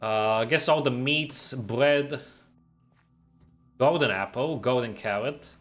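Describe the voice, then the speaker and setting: A man's voice: medium pitch, slow pace, faint music behind. A man streaming a game and chatting casually with viewers, making his R sounds in the throat. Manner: relaxed, reads and answers chat while playing. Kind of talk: casual livestream chat.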